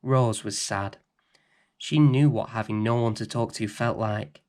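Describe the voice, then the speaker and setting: A man reading a children's bedtime story aloud as host, in an expressive, dramatic, theatrical manner. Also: lowish voice. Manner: dramatic, theatrical, deliberate phrasing.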